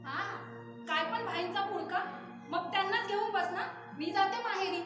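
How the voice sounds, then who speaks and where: A woman playing a sharp-tongued, money-minded young wife in a domestic drama, taunting, scolding and imperious. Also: very high voice, normal speed. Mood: bitter, accusing. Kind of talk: melodramatic Marathi stage-style domestic drama acting. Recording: very echoey room, distant mic, music.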